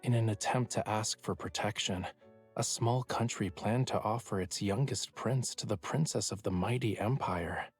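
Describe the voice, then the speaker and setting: Low-voiced, teasing young lover. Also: male voice, normal speed, slightly rough. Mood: storytelling. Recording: music behind the voice.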